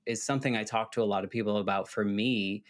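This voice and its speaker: A man's voice: on the low side, fairly even intonation, fast. A man from the United States hosting a podcast, talking in an earnest, rambling way with many fillers. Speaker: podcast host. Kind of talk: casual interview conversation.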